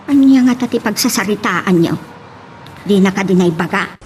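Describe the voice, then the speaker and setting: A woman's voice: mid-range voice, moderate pace, natural voice. A woman playing an anxious, disapproving mother in a radio-style drama. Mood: worried, disapproving. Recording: faint background noise.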